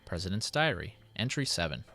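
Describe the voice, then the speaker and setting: A low male voice put on by a narrator as a burdened city president in a story. He is formal and measured, dictating a solemn diary with dutiful worry, over soft background music. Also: big pitch swings, creaky, heavily acted. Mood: solemn, intrigued. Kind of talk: dramatic in-character diary reading.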